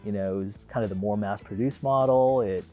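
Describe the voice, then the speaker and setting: A man's voice: on the low side, fairly quick, dark tone, soft background music. A man in his thirties, born in the Philippines and raised in Los Angeles, casually telling the story of his car, rambling with many fillers and restarts. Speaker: car owner.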